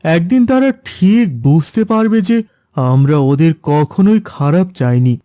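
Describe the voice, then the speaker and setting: A man voicing a gentle, patient middle-aged father in a cartoon. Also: fairly high pitch, very animated, measured pace, dry recording, studio quality. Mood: reassuring. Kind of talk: cartoon voice acting.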